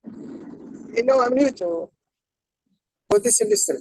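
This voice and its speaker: A man from Ethiopia, a caller on a video call, speaking politely and haltingly in English, with a breaking connection. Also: very high voice, huge pitch swings, vocal fry, darkish tone, low-quality recording. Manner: halting, polite, repeats words.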